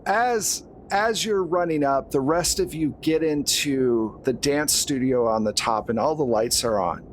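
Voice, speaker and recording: A man's voice: on the high side, slight background noise. A man narrating a horror tabletop game as game master, in a casual, conversational storytelling way.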